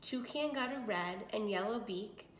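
A woman's voice: mid-range voice, a little slow, slight background noise. A woman reading a picture book aloud to children as a storyteller, expressively, with little asides and questions. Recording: echoey room.

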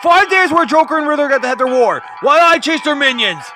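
A man's voice: very high voice, lively intonation, quick pace, sharp tone, soft background music, pitched above the performer's own voice, heavily acted. A man playing a brooding, guilt-haunted masked vigilante in a dramatic comic-book reading, grave and clipped. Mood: anguished rage.

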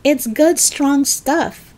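A woman's voice: high voice, very slow, darkish tone, pitched above the performer's own voice. A woman voicing an eager, cheeky, politely reassuring young boy in a children's storybook.

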